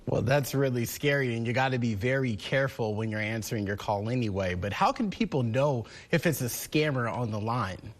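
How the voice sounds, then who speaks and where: A man's voice: medium pitch, a little fast, hardly any pauses. A man working as a morning news anchor, presenting and interviewing in broadcast news style.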